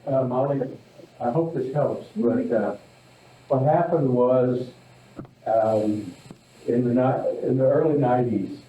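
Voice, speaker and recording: A man speaking up from the audience at a public meeting, slow and deliberate with fillers. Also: mid-range voice, warm tone, dull audio. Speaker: audience member. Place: meeting room. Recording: distant, echoey, faint room noise.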